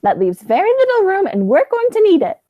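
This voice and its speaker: A woman playing a high, swooping dotty old aunt. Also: wildly swooping pitch, brisk, no room sound, muffled recording, heavily acted. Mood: prim, indignant. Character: sweet, indignant, dotty elderly aunt. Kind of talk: radio play acting, high school theatre.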